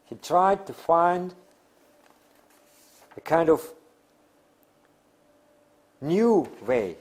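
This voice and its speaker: A man who is a non-native English speaker lecturing on theory in halting, deliberate phrases with emphatic pauses. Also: a little high, expressive. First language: not English. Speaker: academic lecturer.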